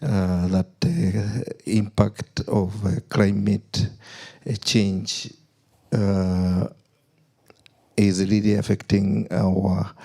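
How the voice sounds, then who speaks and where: A man's voice: deep voice, gravelly, dark tone, breathy edge. A man with a Kenyan accent speaking as a company CEO on a conference panel, in formal remarks broken by frequent "uh" fillers. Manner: halting, heavy with "uh" fillers.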